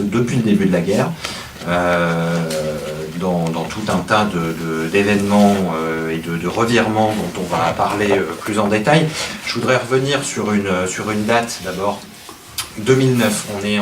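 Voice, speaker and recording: A man with a very low voice lectures an audience, stumbling and restarting often. He is picked up from far away, with room echo and clear background noise. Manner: hesitant, frequent restarts. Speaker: journalist guest speaker. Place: meeting hall. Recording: echoey room from far off, noisy.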